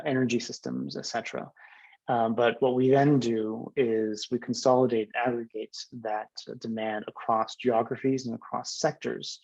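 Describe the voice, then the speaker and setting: A man moderates a panel over a video call, halting and full of fillers as he passes the floor to others. His voice is gravelly and middle-pitched. The call audio is dull, lo-fi and dry. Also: darkish tone, no echo. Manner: halting, many fillers and repeats. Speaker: host.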